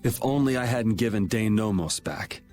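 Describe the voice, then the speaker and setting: A man's voice: on the low side, lively intonation, moderate pace, darkish tone, faint music behind, lightly acted. An actor playing a laid-back young swordsman in a video game, speaking in a casual, dry, teasing way. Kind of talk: video game cutscene acting.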